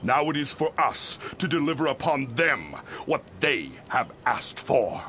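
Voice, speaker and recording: An actor playing a grave, vengeful religious leader in a fantasy game, delivering measured, preaching oratory. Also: male voice, medium pitch, sing-song, sharp tone, slight background noise. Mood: grave, righteous. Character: solemn, vengeful male religious leader rallying followers. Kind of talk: video game cutscene acting.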